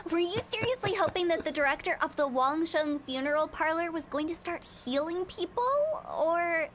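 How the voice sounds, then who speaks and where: A woman's voice: extremely high pitch, expressive, unhurried, a bit bright, slight background noise, exaggerated voice. A woman voicing a playful, self-assured young funeral parlor director in a video game, with a breezy, teasing manner. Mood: amused, teasing.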